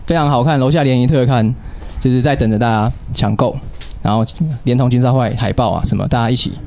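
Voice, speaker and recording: A man in his thirties chats as a radio host in a loose, improvised way. He rambles and banters casually. There is clear noise and some clicking behind his voice. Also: medium pitch, fast talker. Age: 30s. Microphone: radio show microphone.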